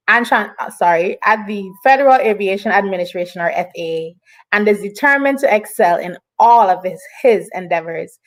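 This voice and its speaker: A woman's voice: mid-range voice, rough recording. A woman hosting an online interview show, speaking in an upbeat, encouraging way as she introduces and chats.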